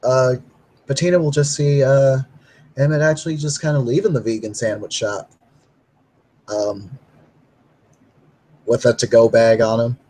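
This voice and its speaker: A man's voice: mid-range voice, little pitch movement, unhurried, long pauses, warm tone. A man narrating as storyteller in a tabletop roleplay, casual with many fillers.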